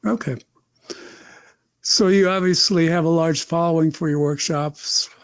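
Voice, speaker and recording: A man's voice: fairly high pitch, fairly even intonation, measured pace, clean tone, dry recording, muffled recording. A male podcast host asking brief, plain questions. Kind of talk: interview questions.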